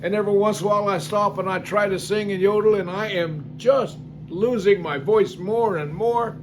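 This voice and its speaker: High-voiced older man telling a story. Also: moderate pace, noisy background. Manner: rambling, folksy, many fillers and restarts. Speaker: singer telling life stories. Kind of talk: casual spoken testimony.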